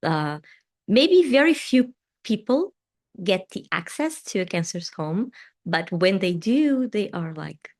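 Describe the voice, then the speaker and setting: A Portuguese woman with a Portuguese accent, English not her first language, hosting a live tarot and meditation stream, talking in a gentle, soothing way that often trails off softly. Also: medium pitch, big pitch swings, slow pace. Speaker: tarot reader and meditation host. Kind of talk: live-stream chat answering viewer comments.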